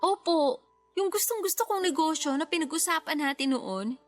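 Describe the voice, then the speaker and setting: A woman's voice: high-pitched, animated, moderate pace, faint music behind. A woman playing a respectful, ambitious daughter in her twenties, polite and eager, in a radio drama. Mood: eager, determined. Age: young adult. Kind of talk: Tagalog radio drama acting.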